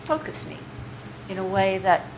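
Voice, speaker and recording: A woman's voice: mid-range voice, expressive, normal speed. A woman leading a poetry workshop, talking informally and digressively to the group, with many fillers and restarts. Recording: noisy room recording.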